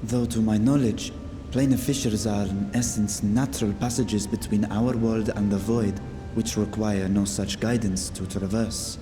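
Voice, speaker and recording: A man playing a grave, low-voiced fantasy veteran. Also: steady tone, a little slow, music in the background, close to an everyday voice. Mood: grave, pensive. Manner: solemn, formal, archaic phrasing. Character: grave, cautionary battle-hardened man recounting old horrors. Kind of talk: fantasy video game voice acting.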